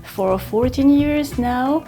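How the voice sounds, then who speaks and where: French-accented female interviewee, very unhurried, soft dark tone, light music behind. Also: high voice. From Grenoble, France. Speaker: interviewee, pharmacist and writer. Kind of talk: first-person interview.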